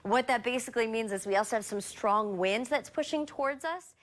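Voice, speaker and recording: A woman's voice: a little high, brisk, background noise. A woman meteorologist giving live, unscripted weather commentary in an explanatory way.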